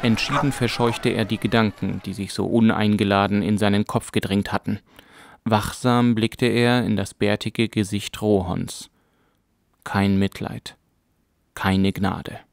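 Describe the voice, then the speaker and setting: A man narrating a fantasy audiobook in a calm, measured storytelling manner. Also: on the low side, vocal fry, no room sound, clean studio sound. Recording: music bed under narration.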